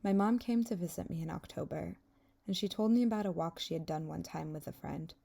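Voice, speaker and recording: Young female vlog narrator, slightly low and creaky, reflective personal voice-over. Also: fairly quick, warm tone. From England until age ten, then the US. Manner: reflective, wistful. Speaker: vlogger narrating her own video.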